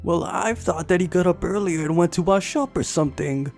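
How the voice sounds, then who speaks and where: A man's voice: high-pitched, brisk, gravelly, a little mellow, soft background music, pitched above the performer's own voice. A man voicing an earnest, worried teenage boy in a visual novel, hesitant as he explains himself.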